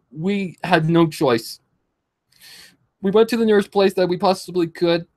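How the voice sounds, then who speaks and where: This is a young man in his early twenties with a fairly high voice for a man. He talks as if confessing to followers, halting and repeating himself as he pleads. He sounds distressed and tearful, with slightly affected speech and some swearing. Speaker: vlogger. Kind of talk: emotional confessional talk to followers.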